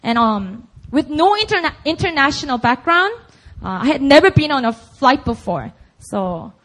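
A young woman preacher with a Korean accent and a high, bright-sounding voice. She talks to the congregation casually and with emphasis, tossing in "like" and "right?" between her points. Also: big pitch swings, normal speed. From Busan, South Korea. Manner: chatty and emphatic, full of "like" and "right?". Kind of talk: conversational sermon.

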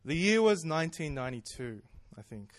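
A man's voice: on the high side, sing-song, brisk, no echo, studio quality. A man, preaching in a chatty, self-deprecating storytelling way that often breaks into laughs. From Australia. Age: 30s. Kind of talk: personal storytelling sermon.

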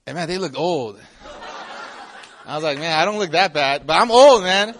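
Pastor guy, 40s, high voice, rambly jokey youth sermon to a crowd. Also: lively intonation, normal speed, a bit bright, slight background noise. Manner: chatty, jokey, restarts often. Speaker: campus pastor.

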